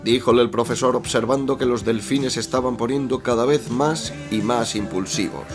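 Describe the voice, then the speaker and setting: A man's voice: medium pitch, normal speed, close to an everyday voice. A man narrating a radio soap in a florid, mock-solemn storytelling manner. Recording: music behind the voice.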